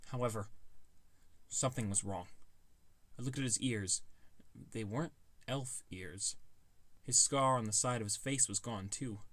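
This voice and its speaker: A man's voice: fairly low pitch, no echo. Dry, close-miked man narrating a fantasy tale. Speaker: audiobook narrator. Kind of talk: scripted first-person fantasy narration.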